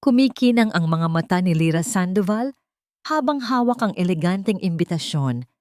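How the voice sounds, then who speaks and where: A woman's voice: fairly low pitch, lively intonation, moderate pace, darkish tone, no room sound, studio quality. A woman reading a scripted romance story in a dramatic storytelling style.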